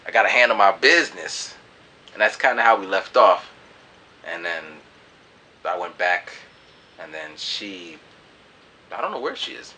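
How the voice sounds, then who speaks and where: A man in his twenties telling a loose, animated story to camera in a small room. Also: low-pitched, a little slow, pauses often, a little hiss or hum. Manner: animated, loose storyteller who acts out voices. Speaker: vlogger. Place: small hot room indoors.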